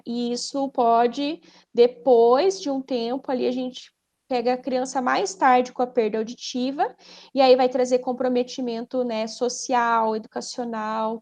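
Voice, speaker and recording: A woman's voice: a little high, a little mellow. A woman with a Brazilian Portuguese accent, a speech therapist explaining conversationally as a podcast guest, didactic, posing questions and then answering them.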